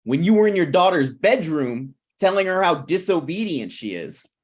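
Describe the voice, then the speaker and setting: A man's voice: a little high, sing-song, normal speed, husky. A man in his thirties at a script table read, playing a needy, prickly struggling screenwriter who talks in a pressing, conversational way. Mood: accusing. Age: 30s.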